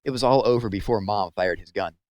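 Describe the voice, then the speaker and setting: A man's voice: lowish voice, fairly quick, darkish tone, dry recording, natural voice. A man voicing a cheeky, casual, blunt teenage boy at a camp in a storybook. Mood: matter-of-fact.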